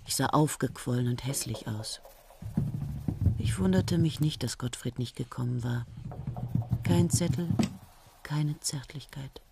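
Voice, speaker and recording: An actress playing a tired, self-mocking woman scientist in a radio play, talking quietly and often near a whisper. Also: very deep voice, unhurried, creaky, pauses often, exaggerated voice. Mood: flat narration. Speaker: lead character and narrator. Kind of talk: 1970s German radio play acting. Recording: old radio play with loud hiss.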